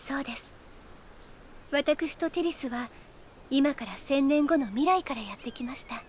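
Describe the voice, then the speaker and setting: High-voiced, gentle young noblewoman. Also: lively intonation, measured pace, slight background noise. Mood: earnest. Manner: polite, ladylike phrasing. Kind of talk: Japanese anime game voice acting. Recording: loud music behind the voice.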